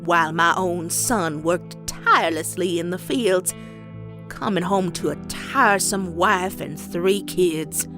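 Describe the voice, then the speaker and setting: A woman voicing a stern, bitter old farm grandmother pony in a comic dub, with a Southern US accent and folksy, deliberate delivery. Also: on the low side. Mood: grave, confessional. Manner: folksy, slow, deliberate storytelling. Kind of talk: dramatic character acting in comic dub. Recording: dramatic music behind.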